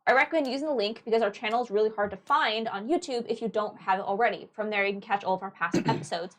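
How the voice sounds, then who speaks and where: A woman hosting a livestream with a high voice, chatting in a bubbly, rambling, giggly way. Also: fast talker. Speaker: stream host. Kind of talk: casual livestream chat.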